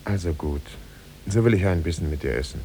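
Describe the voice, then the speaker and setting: Casting: reserved young householder, very low, dark voice, clipped replies, old noisy radio drama. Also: male voice, animated, brisk. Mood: reluctant. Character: reluctant, courteous young man, impoverished householder. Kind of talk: 1950s German radio play acting. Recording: noisy 1950s radio recording.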